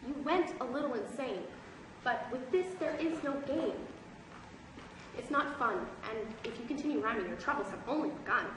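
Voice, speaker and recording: Echoey high-voiced earnest schoolgirl played by young woman. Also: animated, normal speed. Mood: earnest pleading. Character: earnest, protective rhyming schoolgirl friend. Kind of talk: amateur school stage play, rhyming dialogue. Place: school theatre stage. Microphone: distant camera microphone. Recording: echoey theatre stage, far off, noisy.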